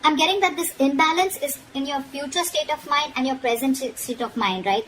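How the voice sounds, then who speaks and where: A woman's voice: a little high, rough recording. A woman giving an informal, frank tarot reading, speaking directly to the listener and often checking in as she admonishes. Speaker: tarot reader. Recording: noticeable background noise.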